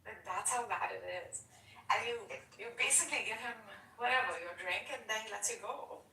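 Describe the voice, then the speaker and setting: A woman's voice: fairly high pitch, brisk, creaky, sharp tone, distant mic. A woman in casual chat, telling stories bluntly and swearing freely. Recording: roomy echo, off the mic.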